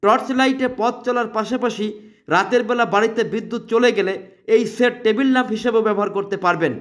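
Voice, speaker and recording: A man with a Bangladeshi accent presenting a product in an emphatic promotional sales pitch, repeating his selling phrases. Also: fast, a bit bright.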